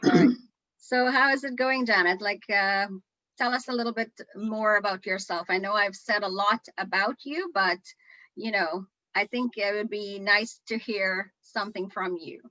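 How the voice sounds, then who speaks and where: Female host, middle-aged, bright forceful voice, dry but dull video-call sound. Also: mid-range voice, moderate pace, no room sound. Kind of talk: hosting a livestream interview.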